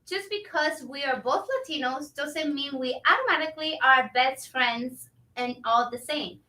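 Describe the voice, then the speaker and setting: A woman's voice: sits high, normal speed, bright, forceful tone. A woman playing a defiant, outspoken restaurant worker in a staged reading, firm and confrontational. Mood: defensive. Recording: roomy echo, distant microphone.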